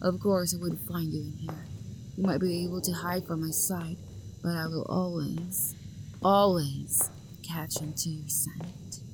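A woman's voice: on the high side, slow pace, long pauses, background noise. A young woman acting an obsessive, possessive lover who hunts for the one she adores, her voice sweet and menacing and often sinking to a whisper. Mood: hushed, sinister. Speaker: voice actor. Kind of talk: audio roleplay acting.

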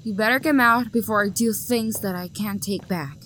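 A woman's voice: medium pitch, moderate pace, a bit bright, slight background noise, close to an everyday voice. A young woman acting an obsessive, possessive lover who hunts for the one she adores, her voice sweet and menacing and often sinking to a whisper. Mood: pleading, unhinged. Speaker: voice actor. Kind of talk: audio roleplay acting.

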